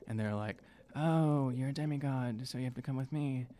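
A man's voice: medium pitch, normal speed, mellow, a little hiss or hum. A young man in casual improvised roleplay at a tabletop game, hesitant, on a studio microphone. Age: young adult. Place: small studio room indoors. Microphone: microphone on stand.

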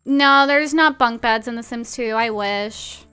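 A woman's voice: on the high side, a little slow, bright, forceful tone, smooth. A woman in her twenties chatting casually and informally as she streams a game, with plenty of "like" in her speech. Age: 20s. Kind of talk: casual live-stream chat while playing a game. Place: small indoor room at home.

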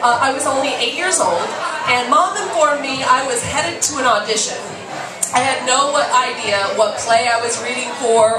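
An American woman from Texas, middle-aged, reading her own memoir on stage as animated live storytelling, projecting theatrically. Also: a little high. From Texas, United States. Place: small dark bar stage, indoors. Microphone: microphone on stand, filmed from audience. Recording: distant, echoey, noisy live club recording. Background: audience noise and laughter.

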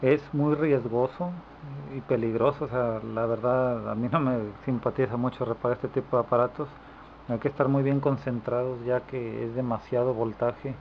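Man demonstrating gear, dull noisy audio. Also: medium pitch. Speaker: repair technician demonstrating a radio amplifier. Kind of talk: informal hands-on video demonstration. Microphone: handheld camera microphone. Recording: dull lo-fi camera audio, clear background noise.

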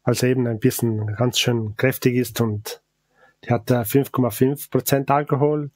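Male brewer guest, Ladin native, accented German, halting and hesitant, dry close recording. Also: medium pitch, steady tone, no echo. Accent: Ladin-Italian accent in German. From South Tyrol, Italy. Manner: halting, searching for words, many hesitations. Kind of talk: casual interview.

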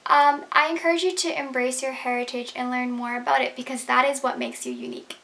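A woman's voice: high voice, expressive, a little fast, fairly bright tone. A young Canadian woman, Urdu her first language, earnestly explaining a prepared talk to camera from her bedroom. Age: young adult. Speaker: speaker. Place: bedroom, indoors.

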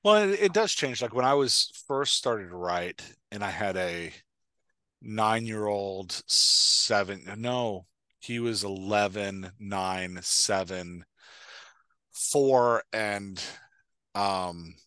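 An American man with a lowish, crisp-edged bright voice talks casually as a podcast host. He tells stories in an animated way, quoting his own thoughts, in a dry-sounding space. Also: slow pace, no room sound. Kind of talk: casual Q&A chat. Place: indoor room at home, on a video call. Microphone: headset microphone.

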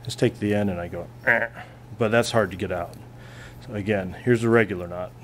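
Low-voiced man demonstrating a knot. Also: fairly quick, slight background noise. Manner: relaxed, plainspoken, thinking aloud with restarts. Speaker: physics teacher. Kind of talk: hands-on classroom demonstration. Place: classroom lab bench, indoors.

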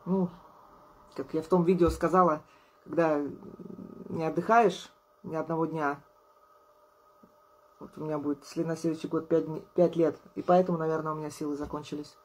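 A woman in her thirties, an artist vlogger, chatting casually to camera at a table indoors, tired and low on energy but friendly, laughing often. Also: fairly even intonation, normal speed, pauses often, husky, poor audio. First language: Russian. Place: indoors at a table, small room.

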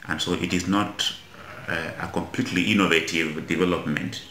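A middle-aged Kenyan man, an Anglican bishop, answering interview questions in a measured way in a small office, often repeating words as he searches for them. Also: sits low, fairly even intonation, pushed and bright, slight background noise. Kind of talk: interview answers mixing Swahili and English. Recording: echoey office room.

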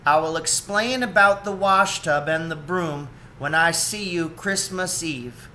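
A man's voice: a little high, unhurried, pushed and bright, a little hiss or hum, voice pushed up. A man voicing an earnest, reassuring young otter son from a storybook, plain-spoken. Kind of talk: storybook character reading.

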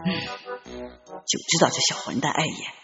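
A woman's voice: moderate pace, sharp tone, highs cut off, lightly acted. A woman voicing a doting but grumbling, imperious emperor in an audiobook, with a muttering manner. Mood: grumbling annoyance. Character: doting, grumbling, commanding young emperor, a man. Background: background music.

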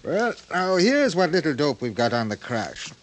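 A man's voice: fairly high pitch, sing-song, moderate pace, background noise. A man playing a breezy, tough American aviation official in a 1950s radio drama, with a folksy, slangy, blunt way of talking. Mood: frank, businesslike.

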